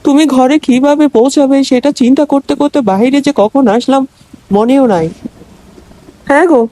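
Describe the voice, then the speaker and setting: A woman's voice: sits high, moderate pace, warm tone, close to an everyday voice. Naive, eager young housewife voiced by a woman. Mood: caring. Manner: simple, earnest, literal-minded. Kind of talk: cartoon dubbing.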